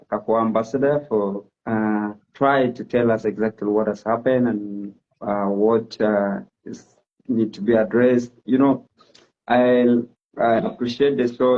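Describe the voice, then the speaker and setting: A man giving thanks and closing remarks on a talk show, pausing often on "uh". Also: fairly low pitch, lo-fi sound. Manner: halting, many "uh" pauses.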